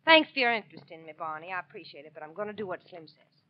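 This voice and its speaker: An actress playing a determined rodeo trick rider and loyal sweetheart in 1940s radio drama style. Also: female voice, lowish voice, animated, quick pace, lightly acted. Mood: firm, composed. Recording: muffled old radio recording.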